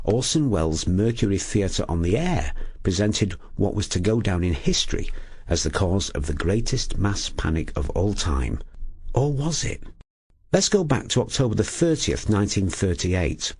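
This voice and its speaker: Male documentary narrator, husky, expressive scripted storytelling, lowish register. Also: normal speed.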